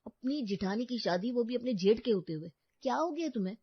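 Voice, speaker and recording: A woman voicing a sensible, level-headed younger husband in an animated storybook. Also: a little high, a little fast, slightly rough, no echo, voice pushed up. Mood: earnest. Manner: reasoning, teasing at times. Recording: dull lo-fi dry recording.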